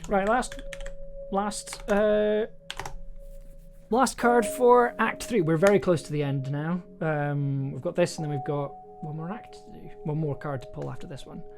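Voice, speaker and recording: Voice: medium pitch, vocal fry. Streamer talking casually, kinda hesitant, keeps interrupting themself, music playing behind. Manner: hesitant, halting, self-interrupting, thinking aloud. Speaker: livestream host. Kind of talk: casual livestream chat while writing and reading aloud. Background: music, computer keyboard typing.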